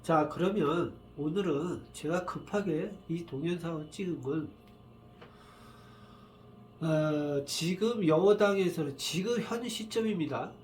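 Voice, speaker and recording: A man with a slightly high voice, worn and rough from a cold, talks casually on his own. He sounds tired and unhurried, wandering between thoughts and filling pauses as he explains a fortune reading. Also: slight background noise. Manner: rambling, halting, many fillers. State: tired, just woken up. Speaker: saju fortune reader. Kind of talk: casual solo video monologue.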